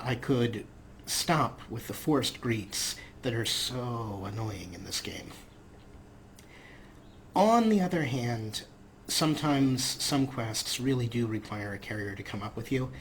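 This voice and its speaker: A man's voice: medium pitch, a little hiss or hum. A man giving casual, explanatory let's play commentary in a chatty manner as the host of a gaming video.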